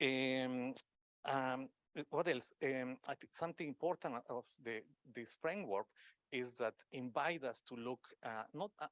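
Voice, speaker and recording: A man's voice: medium pitch, a bit bright, no echo. Hesitant man. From Peru. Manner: hesitant, searching for words with many fillers. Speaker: academic lecturer on a conference panel. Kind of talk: unscripted conference panel talk.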